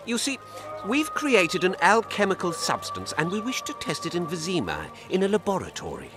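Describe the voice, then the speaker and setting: A man's voice: high-pitched, wildly swooping pitch, normal speed, music in the background. An actor playing an eager, scheming male alchemist in a video game. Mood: eager, persuasive.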